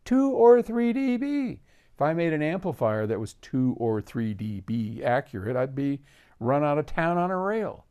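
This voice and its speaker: A man's voice: a little high, sing-song, moderate pace, mellow, clean tone, muffled recording. An older man hosts casually, answering a viewer's question in an emphatic, opinionated way. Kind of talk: casual explanatory talk to camera.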